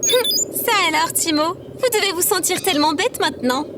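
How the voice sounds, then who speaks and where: A woman's voice: very high-pitched, expressive, normal speed, background noise. A woman playing a polite, naive, literal-minded female AI companion in a comedic audio drama. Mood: blithe, persuasive. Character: female robot or AI companion, naive, blithely unapologetic.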